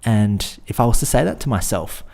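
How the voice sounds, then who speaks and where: A man's voice: fairly low pitch, animated, fairly quick, gravelly, a little mellow. A man with an Australian accent hosting a podcast, giving earnest coaching talk and often repeating and restarting words. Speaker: podcast host and fitness business coach. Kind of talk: casual solo podcast talk.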